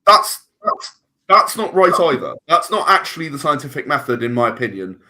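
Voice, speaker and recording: A man's voice: mid-range voice, big pitch swings, normal speed, sharp tone. A man, a podcast guest talking casually and thoughtfully, often restarting his words. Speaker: guest filmmaker.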